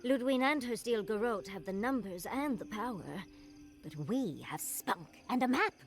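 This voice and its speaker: Lively, high-voiced plucky adventurer. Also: female voice, normal speed, faint music behind. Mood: cheeky optimism. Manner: playful, spirited. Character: plucky, cheeky, upbeat female adventuring companion. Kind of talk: dramatic video game acting.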